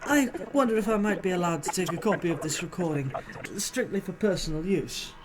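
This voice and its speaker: A man's voice: high-pitched, a little fast, music in the background, close to an everyday voice. A man playing a vain, sadistic villain in an audio drama, haughty and commanding. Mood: sly.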